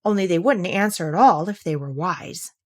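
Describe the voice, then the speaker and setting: A woman's voice: on the low side, lively intonation, moderate pace. A woman voicing a timid, thoughtful little girl from a children's storybook, hesitant and musing aloud to herself. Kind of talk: children's storybook character reading.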